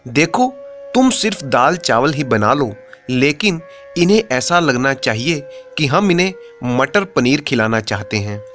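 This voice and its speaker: Guy narrating as a crafty cheapskate husband from a funny story, talks slow, explains carefully, soft music under. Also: medium pitch, sing-song. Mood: scheming. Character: scheming stingy husband giving instructions.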